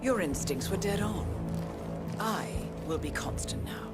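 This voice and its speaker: A woman playing a poised, calculating intelligence handler in a video game, speaking with composed, deliberate assurance. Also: medium pitch, animated, lightly acted. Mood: coolly triumphant. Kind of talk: cinematic game voice acting. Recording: loud music behind the voice.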